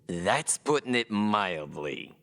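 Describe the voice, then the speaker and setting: A man's voice: fairly low pitch, big pitch swings, takes it slowly, a bit bright, full character voice. A man playing a sarcastic, exasperated adventurer sidekick with a wry delivery in a fantasy audio drama. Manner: wry, sardonic.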